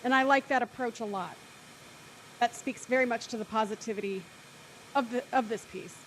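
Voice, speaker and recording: A woman with a fairly high voice moderates a discussion in measured conversational speech. There is some noisy room ambience behind her. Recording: background noise and room ambience.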